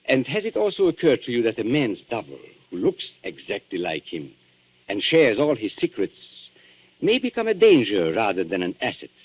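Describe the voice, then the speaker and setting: An actor playing a suave, coolly calculating antique dealer who kills, smooth, mock-polite and theatrical, in a 1940s radio thriller. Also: male voice, fairly low pitch, wildly swooping pitch, moderate pace, full character voice. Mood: coolly reasoning. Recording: dull 1940s radio recording with music.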